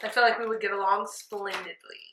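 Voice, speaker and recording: A woman's voice: mid-range voice, a little fast, a little hiss or hum. A young woman in her twenties doing a friend's makeup while chatting casually and telling jokey stories at home. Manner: chatty, jokey, animated storytelling. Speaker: makeup artist host. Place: small indoor room at home. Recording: echoey room.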